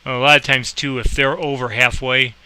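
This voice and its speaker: Man with bright, forceful, even-toned delivery. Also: mid-range voice, normal speed, clear, resonant tone. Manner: informal, rambling, thinking aloud. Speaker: host explaining a game and playing air traffic controller. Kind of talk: casual screen-recorded game tutorial with radio calls.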